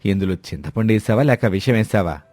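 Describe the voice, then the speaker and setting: A man's voice: lowish voice, lively intonation, a little fast, darkish tone, natural voice. A man playing an older village landlord, blunt and indignant, scolding emphatically in a dramatised moral story.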